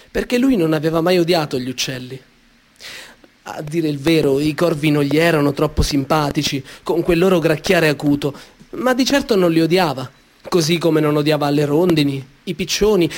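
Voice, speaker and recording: A man reading his own children's story aloud in a gentle, measured and expressive way. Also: a little high. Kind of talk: storybook narration.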